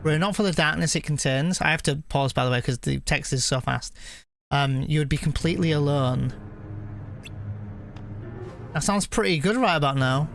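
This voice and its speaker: Higher-pitched man streaming over game music. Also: normal speed, vocal fry, long pauses. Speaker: live streamer playing and reacting to a video game. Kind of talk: casual stream commentary, reading game text aloud.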